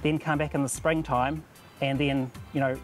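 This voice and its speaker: A New Zealand man who works in conservation talks the viewer through his fieldwork. He sounds matter-of-fact and explains things plainly, pausing with "um" now and then. His voice sits at a middling pitch, with faint music underneath. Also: flat delivery. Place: outdoors in a shallow stream.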